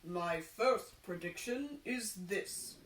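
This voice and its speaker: A woman voicing a sly old male fortune teller, making grand, drawn-out pronouncements in a storybook reading. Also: fairly low pitch, animated, slight background noise. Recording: roomy echo, distant microphone.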